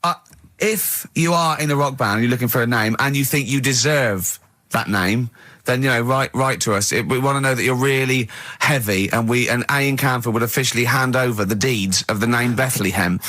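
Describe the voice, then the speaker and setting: Bright, forceful British man bantering on radio. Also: mid-range voice, moderate pace. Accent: southern English. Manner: excitable, irreverent, repeats phrases. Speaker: radio show co-host. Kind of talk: casual comic radio banter.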